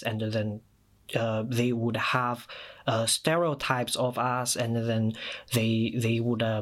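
A man in his thirties from China, Mandarin native, with a Chinese accent, telling personal stories as a podcast guest with many pauses and fillers. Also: medium pitch, a bit bright. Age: 30s. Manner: thoughtful, many "uh" fillers and restarts. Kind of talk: personal storytelling.

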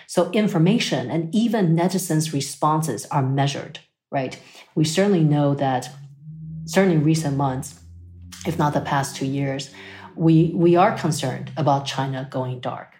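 A woman's voice: sits low, moderate pace, a little mellow. A woman from Taiwan, a guest expert, answering interview questions thoughtfully, often checking in with "right?" and "you know".

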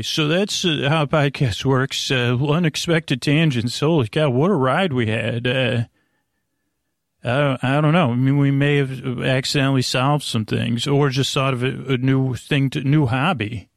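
Gravelly guy doing a meandering bedtime podcast, stammers and trails off a lot, really dry close sound. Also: medium pitch, fairly even intonation, normal speed, no echo. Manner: meandering, hesitant, stammering, often breaking off mid-thought. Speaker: host of a bedtime sleep podcast. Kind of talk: rambling bedtime monologue with tangents.

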